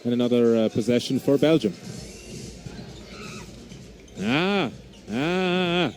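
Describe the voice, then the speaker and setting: A man's voice: mid-range voice, lively intonation, slow pace, slight background noise. A man with a Swiss German accent, a non-native English speaker, giving live basketball play-by-play with frequent hesitations and restarts. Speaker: basketball commentator.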